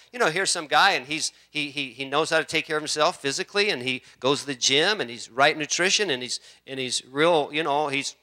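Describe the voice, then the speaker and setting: A man's voice: on the high side, pushed and bright. A man in his fifties preaching a sermon in church, repeating key words for emphasis and building to a fervent delivery. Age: 50s. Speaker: pastor. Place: church sanctuary.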